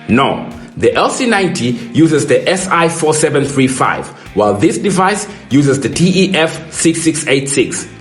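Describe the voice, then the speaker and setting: A man reading a scripted question-and-answer product explainer in a measured, explanatory way as a presenter. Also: on the high side, roomy sound.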